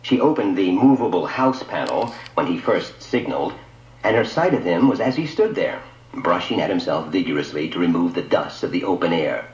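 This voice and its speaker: A man's voice: low-pitched, lively intonation, moderate pace, a little mellow. A man narrating a scripted science fiction story in a dramatic radio storytelling style. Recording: dull lo-fi audio, echoey room.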